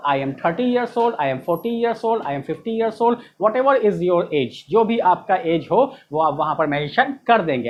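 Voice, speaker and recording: A man's voice: high voice, muffled recording, a little hiss or hum. A man teaching spoken English in Hindi, playfully acting out sample dialogues.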